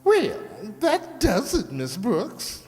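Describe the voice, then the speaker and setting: A woman's voice: fairly high pitch, huge pitch swings, extremely slow, darkish tone, exaggerated voice. A woman playing a blunt, catty beauty parlor hairdresser in an old-style radio comedy, theatrical in delivery. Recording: echoey hall with music.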